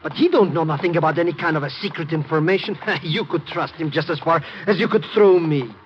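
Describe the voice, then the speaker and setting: An actor playing a nervous, excitable comic sidekick in a 1950s radio drama, stammering and slangy. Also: male voice, on the high side, brisk, lightly acted. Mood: jovial, wheedling. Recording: muffled, hissy vintage radio broadcast.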